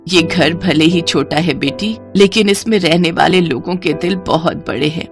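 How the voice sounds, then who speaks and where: A woman voicing a kind, welcoming mother-in-law in a soft, lowered voice. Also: moderate pace, faint music behind, natural voice.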